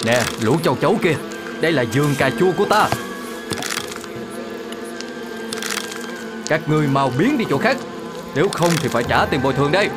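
A man's voice: fairly high pitch, expressive, fast, natural voice. Guy doing a cartoon animal dad, patient n earnest, fatherly explaining, music under him. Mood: indignant. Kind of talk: animated children's cartoon dialogue.

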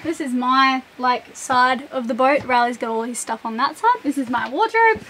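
High-voiced young woman touring her boat. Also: normal speed, background noise. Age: young adult. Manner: chatty, upbeat, matter-of-fact. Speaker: sailing vlogger. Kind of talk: casual boat tour. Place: cabin and galley of a sailing catamaran.

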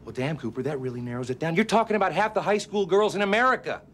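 An actor playing a brash, sardonic middle-aged FBI agent who fires off sharp, testing questions. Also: male voice, fairly high pitch, expressive, a little hiss or hum. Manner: quick, sarcastic, testing.

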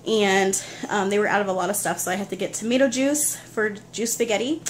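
A woman's voice: mid-range voice, brisk, slight background noise. A young woman in her kitchen, chatting casually as she goes through a grocery haul item by item, recorded close on a handheld phone. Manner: chatty, listing items one after another. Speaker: vlogger. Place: home kitchen, indoors.

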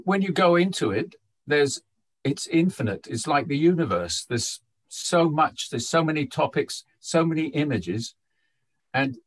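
A male poet on a video call, talking informally and haltingly as he searches for words. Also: a little high. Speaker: poet answering questions. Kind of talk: informal reflective talk. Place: indoors at home, on a group video call.

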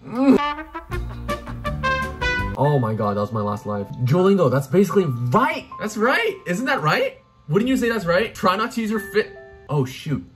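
A man's voice: high-pitched, sing-song, moderate pace, long pauses, music in the background. A man in his twenties, from South Korea, chatting animatedly and exclaiming at his own mistakes while he works through a language-learning app. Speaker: YouTuber teaching Korean. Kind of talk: casual commentary while playing a language app. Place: small room indoors at night.

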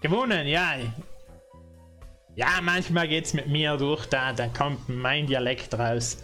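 A man's voice: on the high side, fairly even intonation, slow, bright, forceful tone, soft background music. A man in his thirties streaming a game indoors on a headset, rambling and joking with his viewers. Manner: rambling, joking, laughing often. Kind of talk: casual gaming livestream chat. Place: indoor room.

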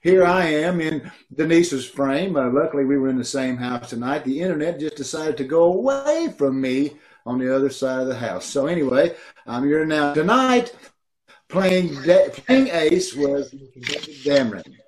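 A man's voice: on the high side, animated, normal speed, darkish tone, a little hiss or hum. A middle-aged man casually and jovially hosting a live sign-off on a video call, introducing an amateur cast. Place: room at home, indoors.

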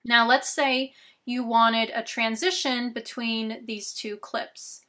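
A young woman in her twenties who is losing her voice, walking viewers through video editing steps slowly and clearly from a small bedroom. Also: fairly high pitch, fairly even intonation, pushed and bright. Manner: slow, step-by-step instructions, chatty asides. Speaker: YouTube tutorial host. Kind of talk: screen-recorded software tutorial.

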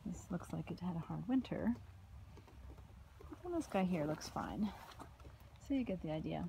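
A woman giving a casual walking tour of a house and its backyard, filmed on a handheld phone, with chatty remarks that trail off into soft asides. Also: fairly low pitch, expressive, a little slow, vocal fry, slight background noise, poor audio. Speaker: home tour vlogger. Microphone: phone camera held while walking.

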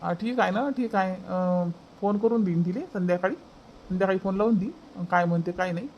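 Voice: mid-range voice, brisk, darkish tone, lo-fi sound, slight background noise, natural voice. A performer voicing a grudging village husband who repeats himself, in an amateur cartoon family comedy, with a Varhadi Marathi accent. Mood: grudging, placating.

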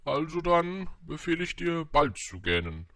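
A man's voice: a little high, very animated, moderate pace, bright, forceful tone, no echo, studio quality, voice pushed up, exaggerated voice. A man playing a vain but kindly absolute king in a storybook, pompous and grandly commanding.

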